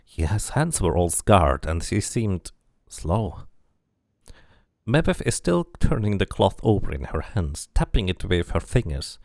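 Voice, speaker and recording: A man with a low voice and a noticeable creak streams a game. He moves between reading narration aloud and casual musing, with lively intonation. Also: measured pace, no echo, studio quality. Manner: muses aloud while playing. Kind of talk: casual playthrough commentary and read-aloud narration.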